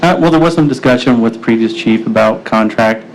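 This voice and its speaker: A man's voice: mid-range voice, a little fast. Informal man chairing meeting, noisy room. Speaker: mayor chairing a city meeting. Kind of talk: procedural public meeting talk. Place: indoor city council meeting room.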